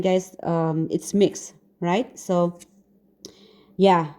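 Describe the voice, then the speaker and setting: A woman from the Philippines with a Filipino accent, giving a casual tarot reading to her viewers in halting speech full of fillers and restarts. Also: lowish voice, mellow. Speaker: tarot reader.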